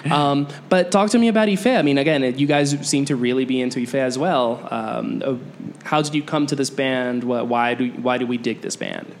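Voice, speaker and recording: A man's voice: mid-range voice, brisk, few pauses, a little hiss or hum. A man hosting a music podcast, chatting casually with slang and lots of fillers. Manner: relaxed, laid-back, lots of fillers and slang. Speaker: podcast host. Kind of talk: casual interview chat.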